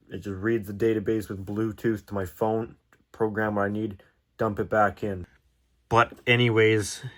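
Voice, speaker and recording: A man's voice: fairly low pitch, fairly bright tone. A man giving a casual, off-the-cuff explanation in a rambling, candid, self-deprecating way. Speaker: hobbyist video presenter.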